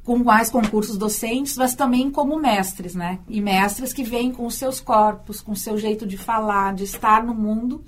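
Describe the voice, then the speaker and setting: A woman's voice: fairly high pitch, normal speed, few pauses, slight background noise. A Brazilian woman, a university teacher and storyteller, answering in a radio interview, thoughtful and often repeating words as she thinks.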